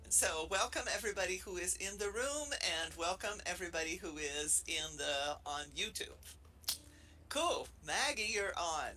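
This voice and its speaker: Bright chatty man with a Brazilian accent. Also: sits high, animated, moderate pace, slight background noise, rough recording. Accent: Brazilian Portuguese. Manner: playful, chatty, many restarts. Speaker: associate professor presenting. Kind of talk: casual presentation to a room and online audience.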